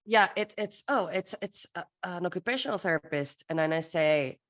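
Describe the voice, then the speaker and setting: Woman from Peru, low voice, lively workshop presenter who acts out exchanges. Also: moderate pace. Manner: lively, playful teaching with acted-out dialogue.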